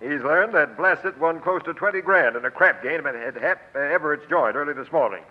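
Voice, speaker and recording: An actor playing a brusque, skeptical police captain in 1940s radio crime drama style, with gruff, slangy, sarcastic commands. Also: male voice, fairly high pitch, animated, brisk. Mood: accusing. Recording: tinny, band-limited old radio broadcast.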